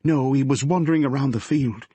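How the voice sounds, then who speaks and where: A man's voice: medium pitch, a little fast, mellow, slightly rough, no echo, voice pushed up, lightly acted. A man reading the part of a frightened, tearful teenage girl telling her policeman father what happened. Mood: anxious. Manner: shaky, pleading, breathless.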